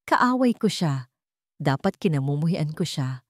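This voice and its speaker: A woman's voice: low voice, very animated, measured pace, no room sound, exaggerated voice. A woman acts out a meek young female assistant in a romance audio drama. She is polite, hesitant and earnest, with swooping intonation in a dry sound. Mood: troubled, torn.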